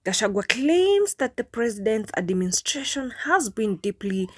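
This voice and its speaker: A woman delivers formal, scripted news narration. Her voice sits fairly high, and the recording is very dry, with hardly any room sound. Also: sing-song, normal speed, slightly rough, no echo.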